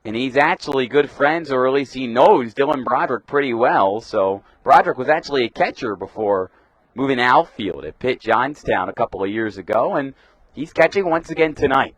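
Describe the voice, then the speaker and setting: A young man works as a radio baseball announcer, giving live play-by-play. His pitch is middling for a man and the sound is dry. He has a crisp commentary delivery and puts punch into each pitch call. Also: animated, fairly quick, no room sound, rough recording. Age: young adult.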